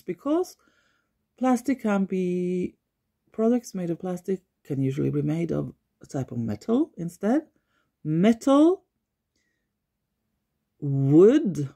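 A Norwegian woman talking unscripted in her living room, pausing often as she searches for words. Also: fairly low pitch, warm tone. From Norway. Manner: thoughtful, searches for words, frequent pauses. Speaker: environmental vlogger. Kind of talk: unscripted talk to camera.

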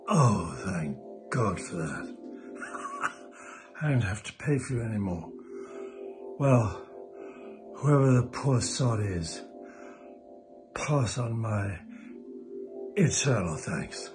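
An older man playing a grumpy, sardonic father in a comedy pantomime, with a dry, scornful manner. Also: medium pitch.

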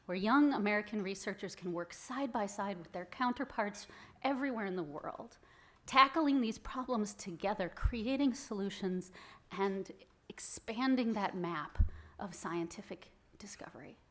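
A woman's voice: mid-range voice, animated, moderate pace, fairly bright tone. A woman in her thirties giving an earnest acceptance speech into a stand microphone in an event hall, stressing key phrases. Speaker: award recipient giving speech. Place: event hall with audience, indoors. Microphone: stand mic at podium.

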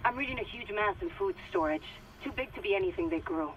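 An actress playing an alert, practical crew technician in a video game, speaking over a radio link. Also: female voice, mid-range voice, expressive, fast talker, fairly bright tone. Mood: concerned, focused. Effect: radio comms filter. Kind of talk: naturalistic video game acting. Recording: dull radio link, faint noise.

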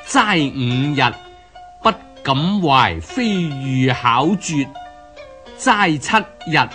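Man, Hong Kong Cantonese accent, classical recitation, solemn, widely swinging intonation, drawn-out delivery, faint string music. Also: medium pitch, sing-song, bright, forceful tone. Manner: solemn, measured. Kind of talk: dramatic recitation of classical Chinese prose. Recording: plucked string music under the voice.

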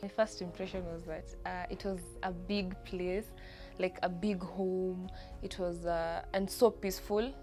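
A young woman from Kenya, a coding student giving a calm, measured interview testimonial outdoors on a lapel mic. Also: lowish voice, soft background music. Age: young adult. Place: outdoor terrace.

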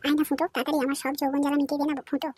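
A woman voicing a brazen, lustful village sister-in-law in a comedy cartoon, with a high, teasing and coaxing voice. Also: level tone, rapid-fire, noisy background, close to an everyday voice. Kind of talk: Bengali comedy cartoon dubbing. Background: background music.

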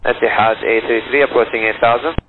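A male pilot talks over a tinny, narrowband aviation radio with clear background noise. His pitch is average and his calls are procedural. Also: fairly even intonation, fast talker. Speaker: airline pilot. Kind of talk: air traffic radio communication. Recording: noisy narrowband cockpit radio transmission.

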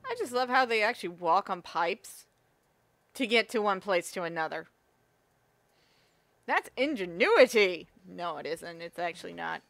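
A woman giving casual let's-play commentary, her voice raspy and strained, talking to herself and the viewers with quick asides. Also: lowish voice, lively intonation, fairly bright tone, dry recording. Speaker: gamer playing a game on video. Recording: faint game music behind.

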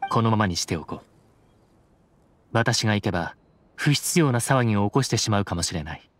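Measured, dignified male official played by an actor. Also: lowish voice, animated, slight background noise, close to an everyday voice. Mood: calm, reserved. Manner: measured, formal, restrained. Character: calm, dignified adult male chief official of justice. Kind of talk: Japanese video game voice acting.

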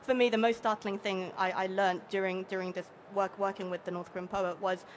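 Quick animated young woman panelist. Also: medium pitch, slight background noise. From Hong Kong. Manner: quick, animated, with many restarts. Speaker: translator on a panel. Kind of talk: panel discussion. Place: bookfair panel stage with an audience, indoors. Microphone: table microphone.

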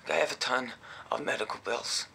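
An actor playing a defensive, anxious, reclusive programmer in a naturalistic drama, earnest and gravelly. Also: male voice, medium pitch, brisk, pushed and bright, exaggerated voice, low-quality recording.

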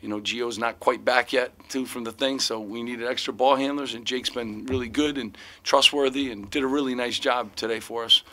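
A man's voice: medium pitch, fairly even intonation, normal speed, few pauses, sharp tone. A middle-aged man, a head basketball coach, answering reporters' questions after a game, rambling with many "you know" fillers and restarts. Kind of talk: postgame press conference answers. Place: press room in front of sponsor backdrop. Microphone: table mic in front of him.

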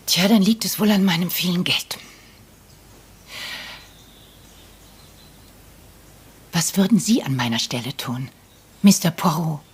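A woman's voice: fairly low pitch, lively intonation, normal speed, a little hiss or hum, natural voice. An actress playing a frail, wealthy old lady in a crime radio play, wry and theatrical, moving between weary and pointed. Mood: pragmatic.

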